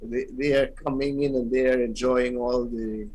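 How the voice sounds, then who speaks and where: A man with a Guyanese accent in a radio discussion, talking in a halting, measured way. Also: mid-range voice, fairly even intonation, a little mellow, slight background noise, rough recording.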